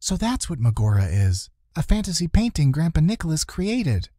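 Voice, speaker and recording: A man's voice: on the high side, big pitch swings, moderate pace, dark tone, no echo, studio quality, pitched above the performer's own voice. A man voicing a determined, easily irritated young heroine in a children's storybook, speaking impatiently. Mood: puzzled.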